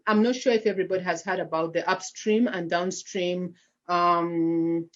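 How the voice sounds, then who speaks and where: A woman's voice: fairly low pitch, fairly even intonation, moderate pace, dull audio. A woman with a Ugandan accent presenting slides on a video call, hesitant, with many fillers and restarts. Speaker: nonprofit founder presenting slides.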